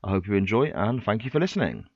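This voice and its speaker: A man's voice: lowish voice, sing-song, fast, no room sound, dull audio. A man hosting a podcast interview in a chatty, polite way with frequent ums, sounding congested from a cold. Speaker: podcast host. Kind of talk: casual interview chat.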